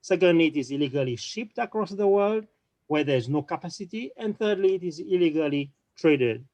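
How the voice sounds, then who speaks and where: Guy on a panel, kinda high voice, quick, keeps restarting, dry close sound. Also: expressive, a little mellow, dry recording. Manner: quick, with frequent restarts and repeated words. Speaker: panel speaker. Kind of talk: online panel discussion.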